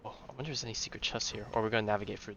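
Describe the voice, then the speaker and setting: A man's voice: fairly low pitch, steady tone, very fast, pushed and bright, slight background noise. A man streaming a video game, thinking aloud in casual commentary. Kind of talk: casual live gameplay commentary. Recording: slightly dull audio, game music behind.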